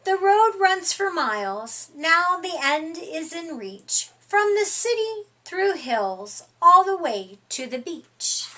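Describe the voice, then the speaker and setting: A middle-aged woman reading a picture book aloud to young children in an expressive, deliberate, emphatic way. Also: extremely high pitch, low-quality recording. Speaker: storytime reader. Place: small furnished room indoors.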